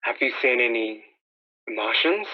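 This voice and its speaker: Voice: mid-range voice, expressive, measured pace. An actor plays a lost wanderer who has survived disaster in a radio drama. The delivery is hesitant, questioning and despairing. It sounds narrow and tinny, as if over a telephone. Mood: bleak, anxious. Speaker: amateur actor in a radio play. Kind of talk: dramatised radio play dialogue.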